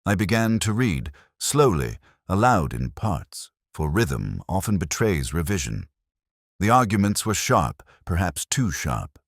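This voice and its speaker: A man reading a scripted first-person detective story in a measured, literary, brooding manner. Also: sits low, sing-song, no echo, studio quality. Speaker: narrator.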